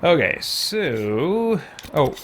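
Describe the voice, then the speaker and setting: A man's voice: on the high side, big pitch swings, very slow, a little hiss or hum. A man giving casual live let's-play commentary, rambling and thinking aloud as a game streamer.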